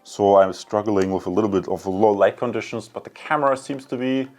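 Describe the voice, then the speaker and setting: A man's voice: fairly low pitch, fairly quick, a little mellow. A man presenting a casual, explanatory tech review, speaking enthusiastically and directly to viewers.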